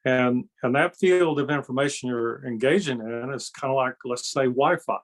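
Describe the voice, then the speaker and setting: A man's voice: mid-range voice, moderate pace. Older man, folksy conversational explainer. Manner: folksy, explanatory, frequent check-in tags. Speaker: interview guest, energy healer and kung fu master. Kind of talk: conversational interview, telling anecdotes.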